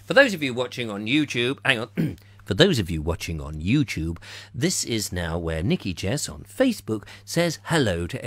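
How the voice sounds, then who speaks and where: A man hosting a comic live chat show, playful and theatrical, slightly hoarse, slipping into silly voices. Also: deep voice, a bit bright, no room sound. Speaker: comedy chat show host.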